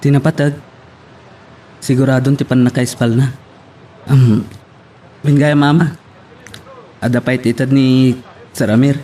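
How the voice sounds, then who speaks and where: A man playing a polite, dutiful young driver with a soft manner in an Ilocano audio drama. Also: mid-range voice, flat delivery, a little slow, long pauses, slight background noise, natural voice. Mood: concerned. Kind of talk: Ilocano radio-style drama acting.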